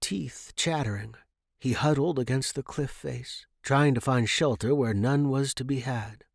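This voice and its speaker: A man narrates a piece of fiction from a script. His pitch sits in the middle of the male range, and the recording is dry, with hardly any room sound. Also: unhurried, vocal fry, dry recording. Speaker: narrator reading a short story.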